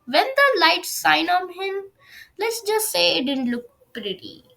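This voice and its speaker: A woman reads a story aloud in a high voice with a noticeable creak. Her pace is slow, and the delivery is that of an amateur narrator who sometimes trips over words. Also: sing-song, pushed and bright. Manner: stumbles over words while reading. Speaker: fan fiction reader on own video channel. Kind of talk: amateur read-aloud of a first-person story.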